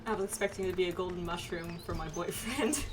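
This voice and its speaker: A woman streaming a game with casual, animated, playful commentary among friends. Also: on the low side, quick pace, faint music behind. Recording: faint background noise.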